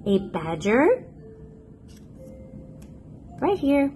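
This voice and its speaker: A woman reading a picture book aloud to toddlers in a playful, expressive, sing-song manner. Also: high-pitched, extremely slow, faint music behind. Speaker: children's picture book narrator, host.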